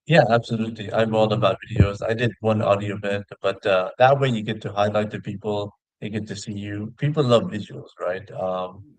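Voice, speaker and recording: A man's voice: lowish voice, fairly even intonation, fairly quick, few pauses, darkish tone, no echo, low-quality recording. A man who hosts his own show, chatting casually as a guest. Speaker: video show host appearing as a guest. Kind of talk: casual chat.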